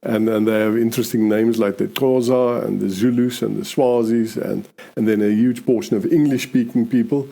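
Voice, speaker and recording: A man's voice: medium pitch, steady tone, gravelly, warm tone. An older man from South Africa with an Afrikaans accent, a police chaplain talking reflectively in an interview, often restarting his sentences. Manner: halting, many restarts and "you know".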